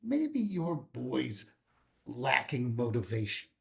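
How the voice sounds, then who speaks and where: Guy acting a sneering corrupt boss type, threatening, drags words out, pitch all over, table read. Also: wildly swooping pitch, slow, creaky, heavily acted. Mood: impatient, threatening. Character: menacing, corrupt male power broker, sneering and impatient. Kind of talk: filmed screenplay table read.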